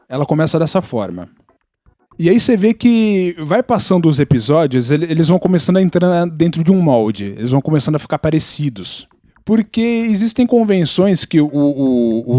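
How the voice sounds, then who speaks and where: A Brazilian man talks casually on a podcast, explaining his views in an opinionated way. His voice sits a little high yet stays soft and dark in tone. The sound is very dry, with no room around it. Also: no echo. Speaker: podcast participant.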